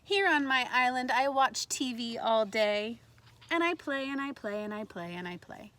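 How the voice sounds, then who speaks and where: A woman's voice: fairly high pitch, expressive, moderate pace, fairly bright tone. A woman teacher leading a read-aloud lesson for young children, explaining things simply and prompting her listeners. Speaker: elementary school teacher.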